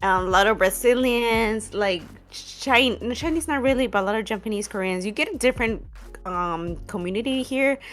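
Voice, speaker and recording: A woman's voice: on the high side, normal speed, soft background music. A woman with a Mexican Spanish accent, a native Spanish speaker raised in El Paso, telling stories candidly as a guest in a casual podcast chat over a video call from her room at home. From El Paso, Texas. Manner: lively, candid storytelling with laughs. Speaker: guest, cosplayer and model.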